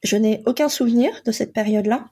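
A Polish-born woman in her forties who is a coach, telling her own story in a halting, reflective way with frequent pauses. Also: on the high side, warm tone, breathy edge. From Poland. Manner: halting, many pauses and fillers. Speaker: guest, coach and psychotherapist. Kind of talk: reflective personal testimony.

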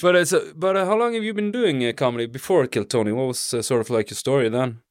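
A man's voice: mid-range voice, fast, no room sound, clean studio sound. A man hosting a podcast in casual chat, rambling through anecdotes and teasing his guest.

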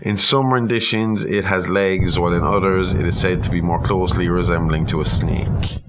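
A man's voice: low voice, steady tone, moderate pace, very noisy. A man hosting a casual chat, retelling a story in a rambling, irreverent way with humorous asides and some swearing. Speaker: podcast host.

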